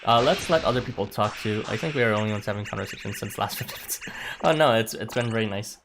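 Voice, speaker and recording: Halting low-voiced young man. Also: background noise. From Canada. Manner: halting, full of fillers and restarts, chuckles often. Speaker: game streamer. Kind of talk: casual stream chat answering viewers. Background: game music.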